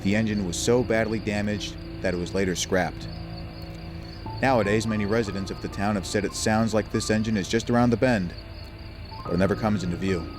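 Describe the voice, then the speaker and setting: Man playing a low-voiced, scheming locomotive storyteller. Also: steady tone, brisk, close to an everyday voice. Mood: ominous. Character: scheming, smug male engine, spinning ghost tales. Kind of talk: audio drama character acting. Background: music, cricket and insect night sounds.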